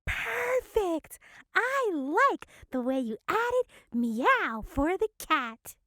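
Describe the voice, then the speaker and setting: Extremely high-pitched, slow-speaking woman narrator. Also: big pitch swings, sharp tone, no room sound, clean studio sound. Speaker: narrator and co-host. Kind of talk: playful children's reading lesson.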